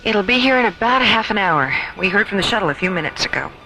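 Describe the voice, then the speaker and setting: Female space-station doctor acted for sci-fi radio drama: brisk, concerned, expressive intonation over music. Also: mid-range voice, natural voice, low-quality recording. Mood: concerned, curious.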